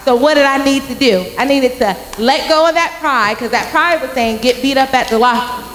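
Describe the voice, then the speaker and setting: A young woman giving a youth message at church, telling a story with lively acted-out voices into a handheld mic. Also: high-pitched, moderate pace, noisy background. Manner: animated storytelling, acting out quoted voices. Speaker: youth speaker. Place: large church sanctuary with congregation. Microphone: handheld microphone. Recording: echoey sanctuary from a far camera.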